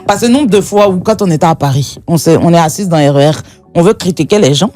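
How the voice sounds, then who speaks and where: A woman's voice: fairly low pitch, expressive, quick pace, dark tone, soft background music. A woman guest on a radio show, chatting casually, telling stories and laughing often.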